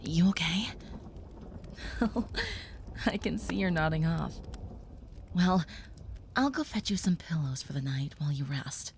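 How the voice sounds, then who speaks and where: A woman voicing a gentle young female vampire who soothes a human listener, speaking softly and often in a whisper, in an audio roleplay. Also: sits low, animated, measured pace, pauses often, slight background noise. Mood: soothing.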